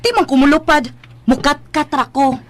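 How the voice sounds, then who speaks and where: Actress playing a high-voiced, dreamy young Cebuano daughter. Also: female voice, normal speed, natural voice. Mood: earnest, confident. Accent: Filipino, Cebuano. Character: ambitious, playful young daughter who dreams of riches. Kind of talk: radio drama acting.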